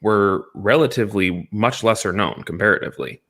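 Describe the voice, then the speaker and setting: A man's voice: on the low side, moderate pace, fairly bright tone. A man speaking as a panelist in a casual, conversational movie debate. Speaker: panelist on a movie review show.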